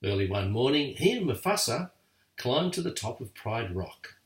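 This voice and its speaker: Older man, lively storybook reader. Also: mid-range voice, moderate pace. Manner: lively, expressive read-aloud. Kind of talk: bedtime story reading. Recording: echoey room, far from mic.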